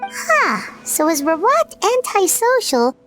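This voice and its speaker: A woman's voice: extremely high pitch, very animated, slow, full character voice. A woman voicing a tiny childlike fairy companion in a video game, chirpy, inquisitive and outspoken. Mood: puzzled, blunt. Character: tiny floating fairy-like companion, childlike female, perky and blunt. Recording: music playing behind the voice.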